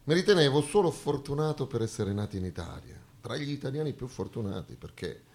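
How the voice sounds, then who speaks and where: A man from southern Italy delivering a theatrical monologue in a measured, solemn, deliberate way. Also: medium pitch, lively intonation, darkish tone. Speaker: stage actor, monologist.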